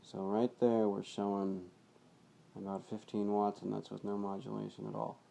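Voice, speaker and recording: A man's voice: on the low side, completely flat delivery, moderate pace, gravelly, dark tone. A man giving a casual, unscripted, hands-on demonstration of gear, plain-spoken and matter-of-fact, in an amateur home video. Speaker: hobbyist presenter demonstrating radio gear.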